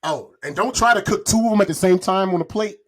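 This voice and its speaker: A man's voice: sits high, fast talker, a bit bright. A man bantering casually on a comedy podcast, slangy and profane, repeating phrases for emphasis.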